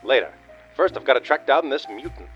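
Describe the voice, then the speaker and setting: Male actor as cynical noir detective, rapid delivery, wordy bluster, comic radio play, dull lo-fi sound. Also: medium pitch, sing-song, natural voice. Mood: cocky, defensive. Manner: blustering, wordy, tough-guy slang. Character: cynical, boneheaded hardboiled male private detective. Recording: dull radio-style sound with faint music.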